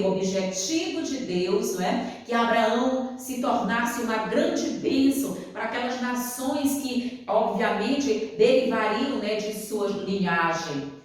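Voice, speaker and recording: Echoey, distant older woman teaching scripture. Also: fairly high pitch. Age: 60s. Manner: asks rhetorical questions and answers them, addresses listeners as dear ones. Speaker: Bible study teacher. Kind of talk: devotional Bible lesson. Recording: echoey room, voice from far off the mic.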